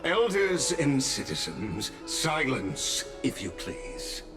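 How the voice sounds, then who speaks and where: A man's voice: mid-range voice, big pitch swings, slow. A man playing a dignified presiding official in a fantasy game, making formal, ceremonious proclamations. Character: dignified male presiding official of a citizens' assembly. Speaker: assembly announcer. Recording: music behind the voice.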